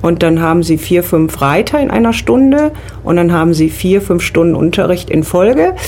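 A woman's voice: lowish voice, animated, normal speed, darkish tone, a little hiss or hum. A middle-aged woman from the Rhineland with a Rhineland German accent, a riding instructor answering questions as a studio guest on a radio show. She explains things step by step and uses fillers.

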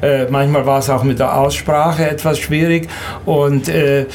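Elderly man recalling his life, halting delivery. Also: on the high side, little pitch movement, darkish tone, a little hiss or hum. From Danube Swabian from Serbia and Hungary. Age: 70s or older. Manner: halting, hesitant, repeats words, often ends with a questioning tag. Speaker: interviewee. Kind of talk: recounting life memories in an interview.

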